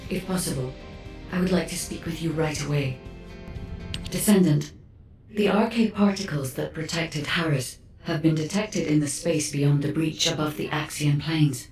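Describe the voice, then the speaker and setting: An actress playing a composed, formal researcher giving a measured briefing in a video game. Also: female voice, low voice, a little mellow, reverberant, distant mic, faint music behind, rough recording. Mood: earnest, composed. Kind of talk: video game dialogue acting.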